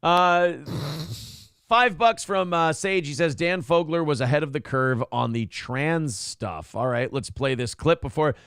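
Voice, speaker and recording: Male host, gravelly, a touch high, crude and offhand, dry close mic. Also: unhurried, a bit bright, no room sound. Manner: brash, profane, reads viewer donations aloud. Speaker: morning show host. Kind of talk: casual political talk show banter.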